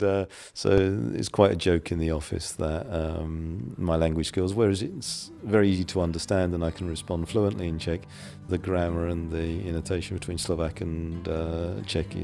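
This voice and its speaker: Man, English accent, middle-aged, very deep voice, gravelly, measured business talk. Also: a little mellow, soft background music. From England. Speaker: guest, property developer. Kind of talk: interview answers.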